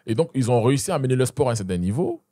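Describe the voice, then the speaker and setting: A man's voice: medium pitch, racing, dry recording, clean studio sound. A young adult man with an Ivorian French accent, a guest talking animatedly in a casual interview, often restarting and checking in with the listener. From Ivory Coast. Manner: animated, repeats and restarts, addresses listener with "tu vois". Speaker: guest, Muay Thai champion.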